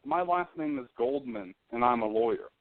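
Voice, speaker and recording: A man's voice: medium pitch, lively intonation, normal speed, no room sound, natural voice. A man prank-calling a radio show as a polite, mock-serious company lawyer who keeps asking probing questions. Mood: probing, insistent. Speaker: prank caller. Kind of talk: phone call to a radio show. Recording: narrow tinny phone line.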